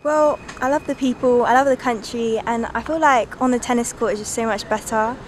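A woman's voice: a little high, fairly quick, slight background noise. A young woman from the UK, a junior tennis player, answering questions with enthusiasm. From United Kingdom.

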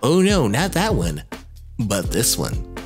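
A man's voice: medium pitch, huge pitch swings, slow pace. A man narrating a scripted video commentary as host, with casual, jokey asides and a wry manner. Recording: music under the voice. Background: background music.

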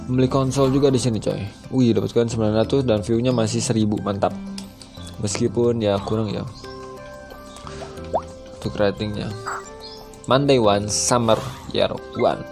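A young man with an Indonesian accent giving casual, slangy gameplay commentary into a headset mic. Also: mid-range voice. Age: young adult. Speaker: gaming YouTuber. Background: game music.

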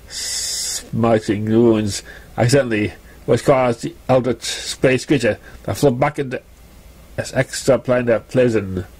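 A man reading a story aloud as an amateur narrator, with halting, stumbling delivery. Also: medium pitch, a little mellow, husky. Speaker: amateur fan fiction reader.

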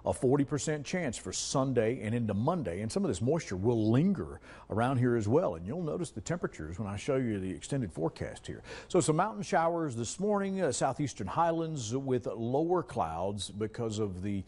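A man's voice: mid-range voice, big pitch swings, moderate pace, a little mellow, breathy edge. An American man in his forties presenting an ad-libbed TV weather forecast in a conversational way, with folksy asides. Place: TV studio.

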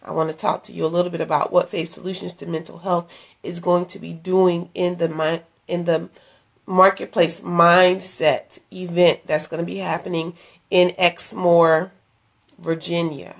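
A middle-aged woman, hoarse from a cold, chatting earnestly into a phone at arm's length in a dim room, inviting viewers to an event. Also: lowish voice, little pitch movement, moderate pace. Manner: chatty, earnest, with many fillers and restarts. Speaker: ministry leader promoting an event. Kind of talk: casual live-stream invitation. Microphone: phone held at arm's length.

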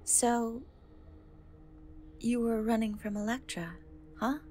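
A woman plays a young cat girl rescuer in a fantasy ASMR roleplay. Her voice is fairly high and soft, and she speaks very slowly, with gentle, hesitant reassurance. Noticeable ambient noise sits behind her. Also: steady tone. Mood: curious, impressed.

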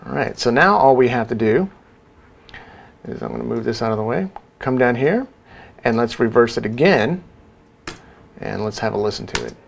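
A man in his thirties giving an informal software tutorial, casually talking through each step. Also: mid-range voice, expressive, normal speed, vocal fry, pauses often, a little hiss or hum. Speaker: sound design tutorial presenter.

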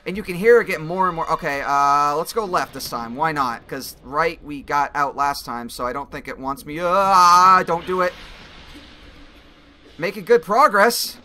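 A young man with a slightly raspy voice giving casual, excitable commentary while playing a game, speaking into a headset mic. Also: a little high, moderate pace. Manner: excitable, swears and yells when he fails. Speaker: gaming video creator. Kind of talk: casual let's-play commentary.

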